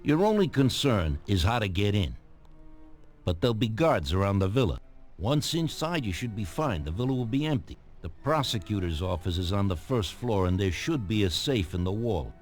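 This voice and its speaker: An actor playing a businesslike mob associate in a video game, laying out a job in a matter-of-fact, instructive way. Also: male voice, lowish voice, big pitch swings, normal speed, soft background music. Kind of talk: dramatic video game dialogue.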